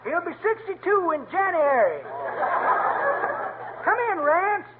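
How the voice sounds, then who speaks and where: An actor playing a boastful, hard-of-hearing old man, with folksy shouted talk and cackles, in a 1940s radio comedy. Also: extremely high pitch, very animated, takes it slowly, over a noisy crowd, heavily acted. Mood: cackling. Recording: tinny, hissy old radio broadcast.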